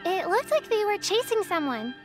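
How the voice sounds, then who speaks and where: A woman's voice: very high voice, very animated, normal speed, music in the background. A woman voicing a curious little child in a video game.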